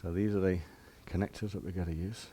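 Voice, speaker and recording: A man's voice: sits low, fairly even intonation, quick pace, mellow, slightly rough. A man giving an informal hands-on demonstration as a shop presenter, explaining step by step in plain, casual words.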